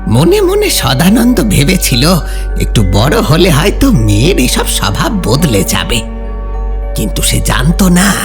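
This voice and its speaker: A man narrating a folk horror story in a suspenseful, theatrical way with drawn-out pauses, as a scripted dramatic reading. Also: mid-range voice. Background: background music.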